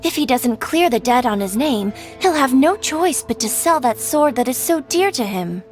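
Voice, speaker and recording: A courteous female shopkeeper from a video game. Her intonation moves around a lot while she stays composed and shrewd, explaining things in measured phrases over faint music. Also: high voice, expressive. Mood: reflective. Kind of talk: video game dialogue acting.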